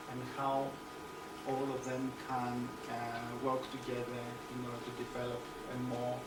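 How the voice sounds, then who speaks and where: A man from Greece with a Greek accent giving an academic seminar talk, hesitant with many pauses and restarts. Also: mid-range voice. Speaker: seminar speaker. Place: seminar room. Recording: echoey room, distant mic, loud background noise.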